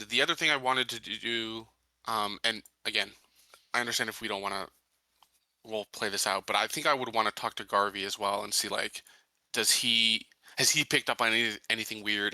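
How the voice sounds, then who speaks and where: Guy roleplaying a sheriff at a tabletop game, lowish but very sharp voice, halting, dry mic. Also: dry recording.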